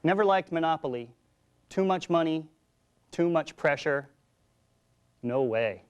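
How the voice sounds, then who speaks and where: A man's voice: fairly high pitch, animated, measured pace, smooth, muffled recording. A talkative, playful man chatting casually as a guest poet, sometimes reciting short poems. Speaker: guest haiku poet and slam champion. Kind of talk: casual chat with poem recitation.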